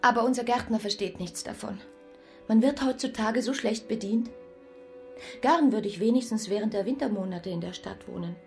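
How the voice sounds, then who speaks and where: A young farmer's daughter played by a woman in a literary radio play. Her voice is medium in pitch, refined and languid, coquettish and a little dissatisfied. Faint music plays along. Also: normal speed, close to an everyday voice. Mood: wistful complaint.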